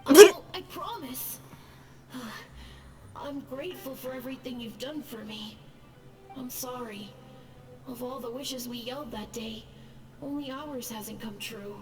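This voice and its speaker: Lonely little ghost boy, highish slow voice, polite n sad, game audio, bit far off. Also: over music. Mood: grateful, sad. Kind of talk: video game voice acting.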